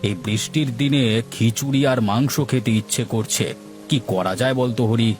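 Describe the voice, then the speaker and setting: A man's voice: mid-range voice, moderate pace, a little mellow, soft background music, lightly acted. A man voicing a grumbling, food-loving village householder in a Bengali cartoon. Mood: musing.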